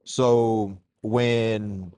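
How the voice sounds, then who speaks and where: A man from Mexico, a guest on a casual podcast interview, telling his story in a relaxed, slangy way. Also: lowish voice, very slow. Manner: relaxed, slangy, calls host "bro".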